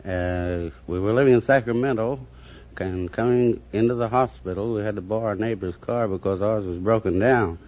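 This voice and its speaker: A man's voice: on the low side, normal speed. A middle-aged man speaking as a radio quiz contestant, in a folksy, jovial manner. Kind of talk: anecdotal interview answers. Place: stage before a studio audience. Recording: muffled, noisy old radio recording, audience.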